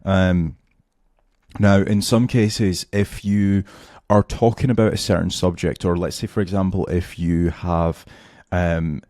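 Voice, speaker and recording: A man explaining website tips in a casual, rambling tutorial at home, full of fillers and "right?" check-ins, his voice going hoarse. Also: deep voice, steady tone, measured pace, dark tone, dry recording, studio quality. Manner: loose, filler-heavy, keeps checking in with "right?". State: losing his voice, a bit hoarse. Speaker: SEO tutor. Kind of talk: casual screen-share tutorial.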